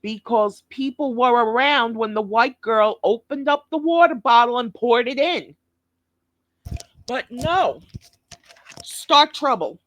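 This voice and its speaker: Woman commentator, scolding and profane, slow deliberate delivery, dry close sound. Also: fairly high pitch, fairly bright tone, dry recording, rough recording. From New York. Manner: blunt, scolding, heavy swearing. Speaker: commentator reacting to a clip.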